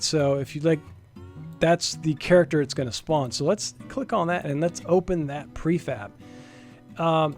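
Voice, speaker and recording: A man's voice: a little high, a little mellow. A man narrating an informal screen-share programming demo as a developer, explaining step by step in a casual way and stressing key points, with guitar music behind him. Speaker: software developer presenting a demo. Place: indoors, screen recording voice-over.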